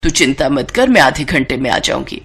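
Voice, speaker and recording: Woman acting as an old Indian mother-in-law, low voice, stubborn, easygoing, coaxing, cartoon moral story. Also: big pitch swings, normal speed, vocal fry, husky, heavily acted. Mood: dismissive, assuring.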